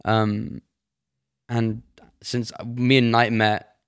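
A teenage boy with an English accent, from Sheffield, co-hosting a podcast in relaxed, explanatory chat. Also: mid-range voice, very slow, clear, resonant tone. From Sheffield, England. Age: teens. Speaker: podcast co-host. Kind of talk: casual chat.

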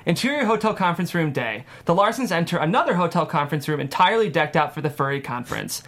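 A woman's voice: fairly low pitch, animated, fast talker. A woman reading scripted narration and stage directions at a comedy table read.

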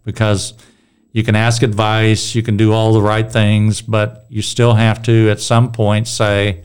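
A man's voice: lowish voice, completely flat delivery, measured pace, smooth. A middle-aged man with a strong voice leading a casual Bible discussion, rambling and restarting his sentences. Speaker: Bible study leader.

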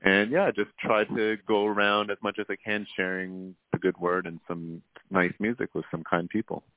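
A man's voice: on the low side, little pitch movement, moderate pace, no room sound. A man from Canada, a musician guest talking in a friendly, easygoing way. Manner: friendly, easygoing, uses fillers like "you know". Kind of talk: casual interview chat. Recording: dry, tinny phone-like line.

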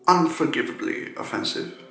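Man as creaky, bright-voiced flirtatious gentleman. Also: on the high side, lively intonation, moderate pace, faint music behind, heavily acted. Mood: smitten, wooing. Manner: wooing, affected. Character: smooth-talking flirtatious gentleman suitor, theatrical and persistent. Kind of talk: audio drama acting. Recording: echoey room.

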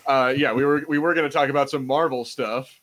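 A man's voice: mid-range voice, fairly even intonation, brisk, a bit bright. A man co-hosting a podcast in casual pop-culture banter, speaking informally and swearing freely. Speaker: podcast host.

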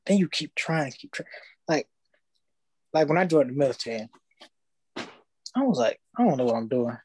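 A young man, telling his story in casual podcast chat, slangy and animated, acting out his past thoughts. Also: medium pitch, moderate pace.

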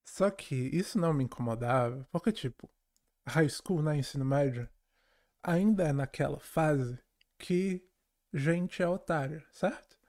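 Man chatting, slightly high voice. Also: a little slow, a little mellow. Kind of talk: casual chat, personal storytelling.

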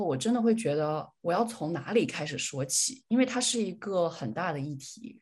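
A woman's voice: fairly low pitch, a little mellow, husky. A woman hosting a podcast, speaking earnestly and reflectively in a casual discussion. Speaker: podcast host steering discussion.

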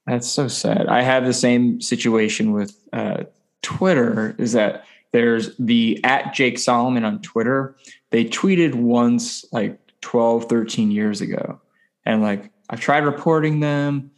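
A male podcast host from the US Midwest holds a casual conversational interview. His voice sits at a middling pitch, and he keeps a joking, self-deprecating way of talking. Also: fairly even intonation, slow pace. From US Midwest (Ohio).

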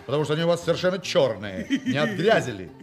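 A man's voice: fairly high pitch, sing-song, fast, soft background music. An actor playing a witty, commanding male con man in a Russian radio play, talking with mock-pompous irony and grand declamation. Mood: scolding, ironic.

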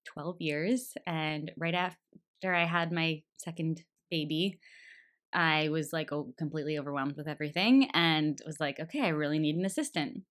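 A woman's voice: sits low, moderate pace, fairly bright tone. A woman in her thirties chatting casually as a podcast guest, giggly and full of fillers. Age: 30s. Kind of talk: casual chat.

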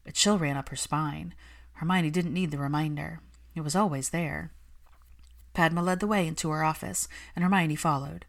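A woman's voice: low voice, fairly even intonation, normal speed, vocal fry. A woman reading scripted fiction aloud as a story narrator.

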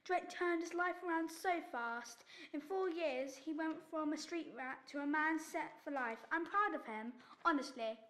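Supportive female relative, woman acting: high voice, caring and sincere, audio drama. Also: measured pace, hardly any pauses, fairly bright tone. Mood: proud. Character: supportive, proud female family friend.

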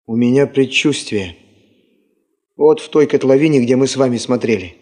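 An actor playing a loyal, eager gold prospector in a radio drama, deferential and plain-spoken. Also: male voice, medium pitch, normal speed, a little mellow, long reverb tail, lightly acted. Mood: eager, devoted. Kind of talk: Soviet radio drama acting.

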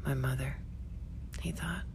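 A woman reading a literary short story in a hushed, deliberate, dramatic way, often sinking to a whisper. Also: extremely low pitch, fairly even intonation, very noisy. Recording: music behind the voice. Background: music.

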